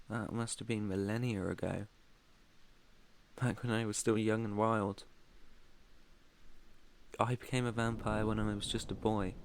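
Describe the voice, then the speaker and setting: Guy doing a lowish british vampire master for asmr roleplay, super old, proud but tender, kinda formal and earnest. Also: slight background noise. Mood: wistful, candid. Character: ancient, protective male vampire master, proud yet tender. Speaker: roleplay voice performer.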